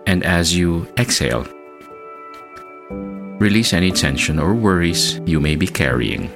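Meditation host, male, extremely low voice, measured and soothing, long pauses, clear music bed underneath. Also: lively intonation. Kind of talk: slow guided meditation narration.

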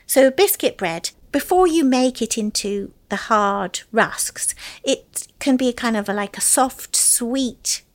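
A woman's voice: a little high, expressive, takes it slowly. A woman, a food historian, answering interview questions in a chatty way with fillers and restarts.

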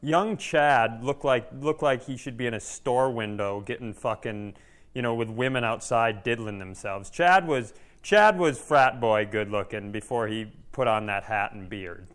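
A man with a clear, smooth voice at a middle pitch, co-hosting a comedy podcast. He talks casually, swears freely and likes to needle the people he is talking with. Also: measured pace, a bit bright. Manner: profane, teasing. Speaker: podcast co-host.

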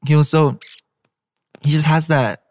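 A young man who is a guest in a casual interview, speaking in a soft-spoken, reserved way with hesitations and fillers. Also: a little high, fairly even intonation, unhurried, no echo, studio quality. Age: young adult. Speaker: K-pop group member, guest.

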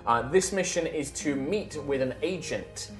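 A man's voice: a little high, lively intonation, unhurried, reverberant, soft background music. A man running a tabletop game, recapping the story in a chatty, rambling way with lots of fillers. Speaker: game master.

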